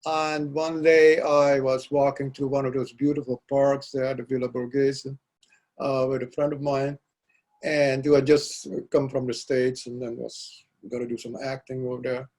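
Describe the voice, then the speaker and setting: An older man whose first language is Dutch, a poet being interviewed, speaking reflectively and pausing often to search for words. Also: mid-range voice, level tone, a little mellow. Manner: halting, searching for words, frequent restarts. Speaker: poet guest.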